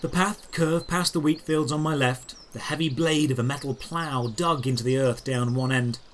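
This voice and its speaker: A man narrating a first-person fantasy audio drama in a deliberate, descriptive way with dramatic pauses. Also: mid-range voice. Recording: clear background noise with birdsong. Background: birdsong and outdoor ambience effects.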